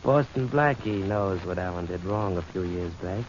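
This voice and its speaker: Cocky wisecracking male gumshoe, acted by a man, low-pitched, 1940s radio sound with faint noise. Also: moderate pace, lightly acted. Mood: smug. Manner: smug, wisecracking. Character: cocky, unhurried hard-boiled male private detective. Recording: muffled, noisy 1940s radio recording.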